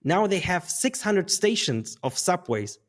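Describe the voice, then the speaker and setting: Guy in his 30s, talks kinda high, rambles and laughs a lot. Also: big pitch swings, a little slow. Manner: rambling, restarts, laughs often. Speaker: guest. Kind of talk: casual interview conversation.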